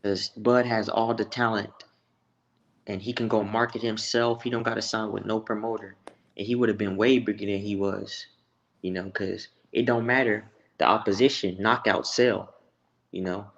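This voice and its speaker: A man's voice: fairly low pitch, steady tone, normal speed, gravelly, dull audio. An American man from Texas giving blunt, profane opinions in a casual, slangy sports chat. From Texas, United States.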